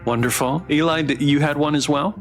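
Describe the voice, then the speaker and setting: A man's voice: fairly high pitch, fairly quick. A man hosting a casual livestream question-and-answer session as interviewer. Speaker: host, interviewer. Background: background music.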